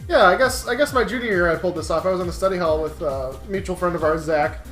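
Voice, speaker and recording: A man's voice: high voice, quick pace, fairly bright tone. A man co-hosting a gaming show, riffing in casual, crude, joking chat. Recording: roomy echo, faint music behind.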